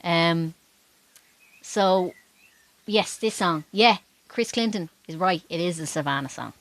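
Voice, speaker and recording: A woman's voice: fairly low pitch, takes it slowly, a bit bright, no room sound. A woman from Dublin with an Irish accent, chatting casually and informally as a folk singer hosting a livestream, talking to viewers between songs. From Dublin, Ireland. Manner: chatty, informal, greeting people by name. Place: small wooden garden shed.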